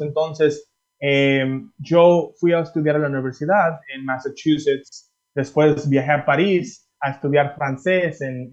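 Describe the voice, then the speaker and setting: A Dominican-born singer being interviewed, answering in a chatty way with many fillers and restarts. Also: male voice, medium pitch, dull audio. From Dominican Republic. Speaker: singer guest.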